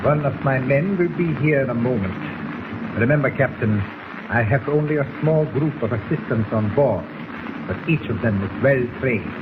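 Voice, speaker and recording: A man's voice: mid-range voice, expressive, normal speed, lightly acted. A man playing an arrogant, menacing agent doctor, in a precise, commanding 1940s radio acting style. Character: arrogant, menacing foreign agent doctor who stole a submarine. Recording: muffled, very noisy 1940s radio recording.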